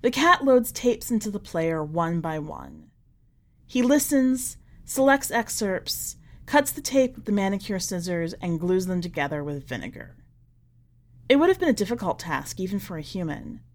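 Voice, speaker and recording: A woman reads a short story aloud in a mid-pitched voice. Her delivery is scripted and deliberate, with measured phrases that settle downward as each one closes. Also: sing-song. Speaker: podcast editor reading a short story aloud. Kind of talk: scripted narration of literary fiction.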